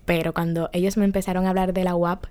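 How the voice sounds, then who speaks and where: A young Dominican woman guests on a radio show in the studio. She speaks with a Dominican accent and tells her story in a lively, animated way. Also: on the low side, fast talker. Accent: Dominican Spanish. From Dominican Republic. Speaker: student guest. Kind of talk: radio interview.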